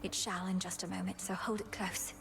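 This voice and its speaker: Woman doing young witch princess in a game, earnest n intense, old-timey formal talk, medium pitch, some music behind. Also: flat delivery, a little fast, vocal fry, close to an everyday voice. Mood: calm resolve. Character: determined, fierce young underworld princess sorceress. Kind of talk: dramatic video game acting.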